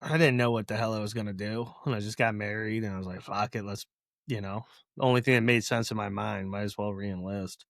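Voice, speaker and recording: A man's voice: fairly low pitch, fairly even intonation, brisk, vocal fry, a bit bright, no echo, studio quality. A man in his thirties from Ohio who co-hosts a podcast and tells stories bluntly, swearing and laughing easily. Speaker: podcast co-host, veteran. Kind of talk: casual chat.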